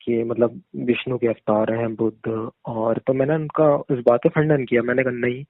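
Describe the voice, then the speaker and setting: A male caller chats in a medium-pitched voice. He is agreeable and repeats himself as he works through his points. The phone recording is dull and muffled, with a dry sound. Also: flat delivery, moderate pace, no room sound. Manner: agreeable, repeats affirmations, rambling questions. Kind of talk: casual phone conversation. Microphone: phone call. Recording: muffled narrow-band phone call recording.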